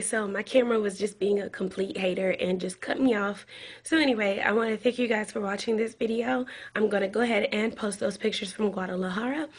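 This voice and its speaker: A young woman with a raspy voice, getting over a cold, rambling earnestly through a casual advice vlog to her camera. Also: mid-range voice. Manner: rambling, earnest, with many fillers. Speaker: flight attendant vlogger.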